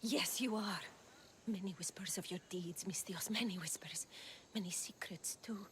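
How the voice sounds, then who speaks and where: An actress playing a secretive, fearful and eccentric informant in a video game, talking in a conspiratorial way. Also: female voice, medium pitch, normal speed, a little hiss or hum, lightly acted. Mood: furtive. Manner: hushed, repeats phrases, often slipping into whispers.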